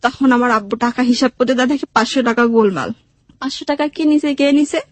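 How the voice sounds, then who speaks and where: A woman's voice: on the high side, fast talker, no echo. A woman with a Bangladeshi accent telling her life story emotionally, in a plaintive way, acting out the dialogue she remembers. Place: radio studio.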